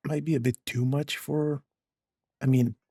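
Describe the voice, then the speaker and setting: Slow, creaky, husky gamer. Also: mid-range voice, steady tone, mellow, no echo, studio quality. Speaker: streamer. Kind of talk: casual game reaction commentary.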